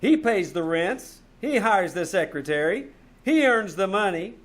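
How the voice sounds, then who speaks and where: A man's voice: sits high, expressive, a little slow. A man playing a wisecracking, irritable hard-boiled private eye in old-time radio comedy style, wry and bossy. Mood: mock-formal. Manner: wry, bossy, mock-formal. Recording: noisy live stage recording.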